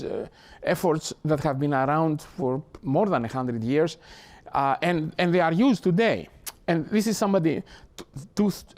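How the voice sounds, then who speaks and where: An older Greek-accented man lecturing to an audience with a somewhat raised pitch. He is earnest and halting, often restarting and repeating words as he works toward his point. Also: a little mellow. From Greece. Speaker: professor. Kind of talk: academic talk. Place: lecture hall.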